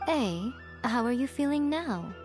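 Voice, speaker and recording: A woman's voice: fairly high pitch, lively intonation, a little slow, music in the background. A woman voicing a poised, sly confidante in a video game, with a playful, teasing manner. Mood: caring, poised. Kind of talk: video game character acting.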